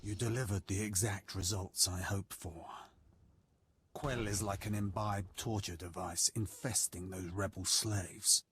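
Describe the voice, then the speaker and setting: An actor playing a sadistic, courteous evil lord in a video game, pompous and savoring every cruel word. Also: male voice, on the low side, steady tone, measured pace, fairly bright tone, slight background noise. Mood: gloating, exhilarated. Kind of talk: video game villain acting.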